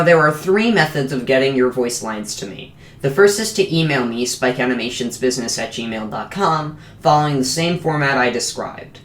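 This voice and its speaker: A man reading a scripted explainer announcement as the creator of an animated show. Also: mid-range voice. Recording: roomy, echoing voice recording.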